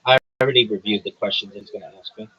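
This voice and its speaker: A man hosting a livestream who reads a prepared statement in a measured, formal way and then slips into casual, hesitant chat. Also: fairly low pitch, fairly even intonation, pushed and bright, husky, muffled recording. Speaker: livestream host.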